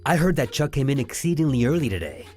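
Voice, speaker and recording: An actor playing an indignant, self-important, anxious advertising creative in an audio comedy, talking in a pompous, wordy way. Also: male voice, medium pitch, very animated, fast, darkish tone, soft background music, close to an everyday voice. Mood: indignant, worried.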